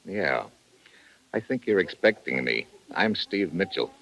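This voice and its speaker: An actor playing a tough, terse American agent with a wry, casual manner in an old radio drama. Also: male voice, low-pitched, big pitch swings, measured pace, creaky, pushed and bright, heavily acted. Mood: businesslike. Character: tough, dry American undercover agent posing as correspondent. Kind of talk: 1950s radio drama acting.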